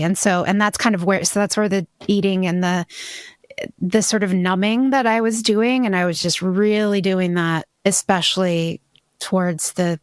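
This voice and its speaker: A woman in her forties tells her story candidly, pausing and restarting as she reflects. Her voice sits on the lower side. The recording is dry and close, with no room sound. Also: no room sound. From Hawaii. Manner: reflective, many restarts and "you know". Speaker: podcast guest.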